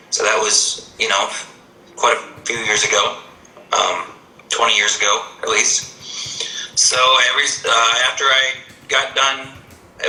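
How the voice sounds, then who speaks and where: A man's voice: mid-range voice, sharp tone, echoey room, slight background noise, poor audio. A singer-songwriter in his thirties, raised in Iowa, answering interview questions on a video call in an easygoing way with many ums. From Colorado-born, raised in Iowa.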